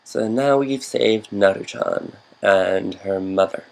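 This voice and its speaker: A man's voice: fairly low pitch, slow, gravelly, low-quality recording. A man giving casual, rambling commentary over a game, wandering into fannish asides.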